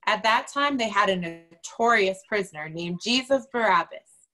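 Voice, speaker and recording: A woman's voice: mid-range voice, animated, normal speed, sharp tone, poor audio. A woman giving a dramatic scripture reading as a church reader.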